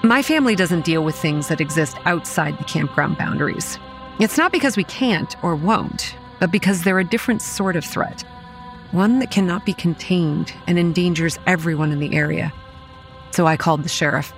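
A woman's voice: on the low side, moderate pace, soft background music, close to an everyday voice. A young woman acting a wry, weary campground manager narrating a horror audio drama in a dry, exasperated way. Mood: grim, uneasy. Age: young adult. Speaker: narrator and lead character.

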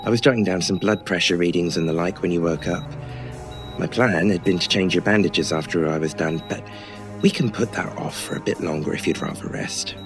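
A low-voiced male nurse, played by an actor in a radio horror play, speaking over music. He is polite and wordy, with a mild bedside manner and a fondness for gentle joking. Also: brisk, natural voice. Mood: calm, reassuring. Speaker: voice actor in an audio drama. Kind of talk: old time radio horror drama acting.

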